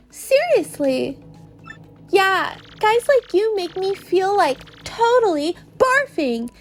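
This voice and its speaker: Woman as a very high, sassy girl creature. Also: exaggerated voice. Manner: sarcastic, gushing then scornful. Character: sassy young female mushroom creature, sarcastic valley-girl type.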